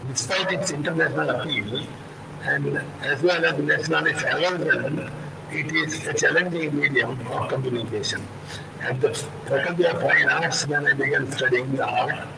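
An older man from India with a Gujarati-influenced accent, reading a prepared talk on a video call in a slow, halting way. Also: medium pitch, creaky, roomy sound, far from the mic, heavy background noise. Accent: Gujarati-influenced English. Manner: slow and halting, with many uh pauses. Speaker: sculptor and panel speaker. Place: room at home, indoors. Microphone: laptop mic on a video call.

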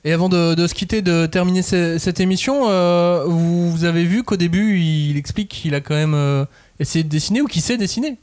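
A man hosting a podcast, leading a relaxed round-table with many hesitations. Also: sits high, a little fast, few pauses. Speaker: podcast host. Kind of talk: casual podcast discussion.

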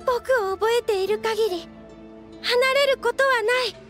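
A woman's voice: very high-pitched, fairly bright tone. A woman voicing a timid, fragile little girl with a small, childlike, hesitant voice in an anime game. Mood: resolute. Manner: hesitant, halting. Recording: background music under the voice.

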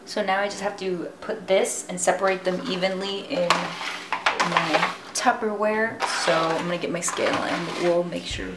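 A woman's voice: fairly low pitch, fairly even intonation, a little slow, very noisy. A young woman in her twenties chatting casually as she explains her meal prep step by step in her home kitchen, talking to a camera on the counter. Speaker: fitness vlogger. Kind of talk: casual cooking vlog. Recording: echoey kitchen with music underneath. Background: background music.

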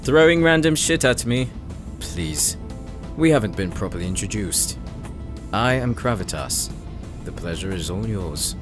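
A man's voice: on the low side, lively intonation, a little slow. A man playing a sadistic demon who has possessed a man's body, taunting with a condescending, theatrical drawl in an audio roleplay drama. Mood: smug. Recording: very echoey, clear music underneath. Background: music.

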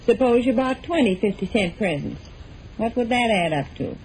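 An American actress playing a thrifty, skeptical middle-aged wife, folksy and persistent, in a 1940s radio comedy. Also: female voice, fairly high pitch, animated, moderate pace, darkish tone, lightly acted. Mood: skeptical, nagging. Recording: noisy old 1940s radio recording.

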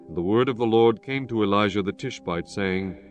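A man's voice: on the low side, fairly quick, soft background music. A man narrating scripture in a solemn, formal reading style for a dramatized Bible.

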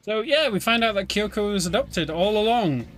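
A British man streaming a game, talking casually as he plays. His voice is bright and forward, a little high. Light music plays underneath. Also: normal speed. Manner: relaxed, chatty, explaining as he plays. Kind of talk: casual gameplay commentary.

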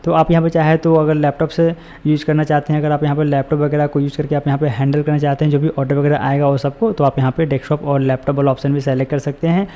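A man's voice: fairly high pitch, level tone, fast talker, hardly any pauses, warm tone. A man narrating a screen-recorded walkthrough, giving repetitive step-by-step instructions as a voice-over. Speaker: tutorial host.

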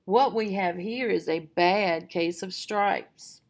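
A woman's voice: medium pitch, slow. Kind sweet old woman, as a woman reader puts her on. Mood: kindly. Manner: gentle, cheerful.

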